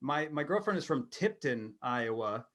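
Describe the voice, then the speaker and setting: A woman's voice: extremely low pitch, sing-song, normal speed, fairly bright tone. A woman hosting a casual podcast chat and asking guests questions. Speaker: podcast host, interviewer.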